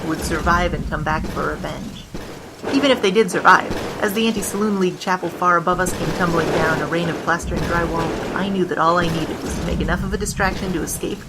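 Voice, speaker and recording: A woman's voice: fairly low pitch, brisk, heavy background noise, lightly acted. A woman voicing a flippant, brazen female bandit who narrates her confession in an audio drama. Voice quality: flippant, breezy.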